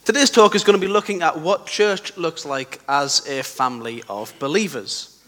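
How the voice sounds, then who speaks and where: A young man with a sore throat and a slightly croaky voice, giving a conversational sermon with personal stories and questions to his listeners. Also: a little high, normal speed, fairly bright tone. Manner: conversational, self-deprecating, asks the listeners questions. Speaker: preacher. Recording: long hall reverb.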